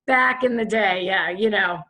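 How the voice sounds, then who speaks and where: A raspy-voiced woman in her fifties, a podcast guest chatting and rambling with lots of fillers. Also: mid-range voice, unhurried, sharp tone. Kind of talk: casual chat.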